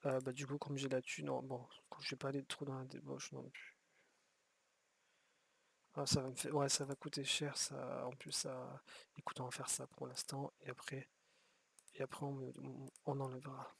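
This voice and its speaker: A man's voice: mid-range voice, completely flat delivery, moderate pace, creaky, fairly bright tone, slightly rough. A man giving rambling, casual commentary while playing a city-building game, often restarting his sentences and swearing offhand. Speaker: gameplay streamer.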